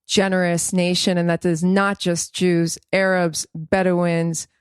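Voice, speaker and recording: Earnest rambling woman from Israel, low voice. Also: flat delivery, slow pace, smooth, no room sound, studio quality. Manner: rambling, earnest, restarts and self-corrects. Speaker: podcast co-host. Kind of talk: casual podcast chat.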